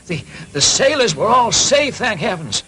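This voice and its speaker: A man voicing a frail, loving old father in a cartoon dub, halting, tremulous and easily moved. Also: high-pitched, sing-song, bright, forceful tone, exaggerated voice. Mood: weary, recounting.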